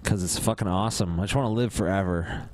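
A man's voice: sits low, quick pace, darkish tone, no room sound. A thirty-year-old man hosting a podcast in casual banter, blunt and profane, with mock belligerence. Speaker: podcast host.

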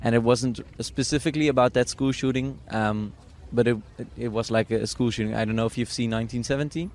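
A man's voice: mid-range voice, steady tone, quick pace, darkish tone. A young man from the Netherlands with a Dutch accent, a guest chatting casually, hesitant with lots of fillers and restarts. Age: young adult. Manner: hesitant, many fillers and restarts, laughs often. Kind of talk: casual interview chat.

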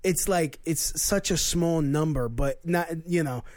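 A man speaking as a guest on a casual panel podcast, halting and restarting often. His voice sits somewhat high. He fills his speech with repeated words as he tries to explain. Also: a little mellow, no room sound, studio quality. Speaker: guest, men's rights activist.

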